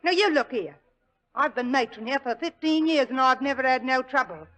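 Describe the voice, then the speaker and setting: A stubborn washroom matron. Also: female voice, high-pitched, animated, moderate pace, a bit bright. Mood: stern. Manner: gruff, scolding. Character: stubborn cockney washroom matron, middle-aged woman. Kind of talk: 1940s radio acting.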